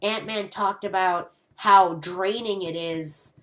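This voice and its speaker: A man's voice: sits high, fairly even intonation, takes it slowly, a bit bright. A young male co-host chatting casually about films, picking at details. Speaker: co-host of a father and son movie review show. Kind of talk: casual film chat.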